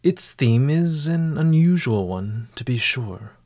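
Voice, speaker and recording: Slow-speaking man guiding a meditation. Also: medium pitch, animated, a little mellow, no room sound. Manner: formal, measured, eloquent phrasing. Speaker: meditation guide and host. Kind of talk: scripted guided meditation narration.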